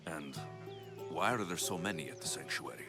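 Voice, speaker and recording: An actor playing a brash, impatient young Greek mercenary in a dramatic video game, speaking in a blunt, commanding way. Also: male voice, low voice, normal speed, over music, close to an everyday voice. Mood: questioning, confident.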